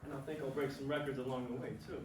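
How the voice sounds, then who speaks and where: A man's voice: mid-range voice, level tone, very fast, darkish tone, lightly acted. A man acting a cocky, curt baseball star with a blunt, clipped delivery in a staged play reading. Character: cocky, curt male baseball star; also gruff father. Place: stage before a room, far microphone. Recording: echoey distant stage recording with noise.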